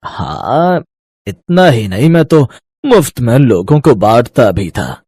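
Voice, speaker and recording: A man voicing a kindly old village uncle in a moral story, earnest and secretive, speaking slowly and deliberately. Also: medium pitch, sing-song, darkish tone, breathy edge, no room sound, exaggerated voice. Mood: wistful, secretive. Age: older. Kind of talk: Hindi moral story voice acting.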